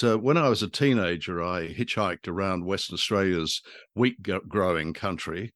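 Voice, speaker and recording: Casting: older male co-host, deep voice, close dry sound, conversational. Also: fairly bright tone, no echo. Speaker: podcast co-host. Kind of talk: casual chat about a radio drama.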